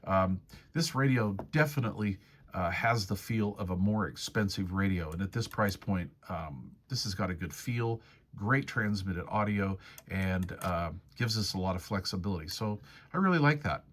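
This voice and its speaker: A man's voice: low voice, animated, normal speed. A man giving a casual, hands-on product review walkthrough.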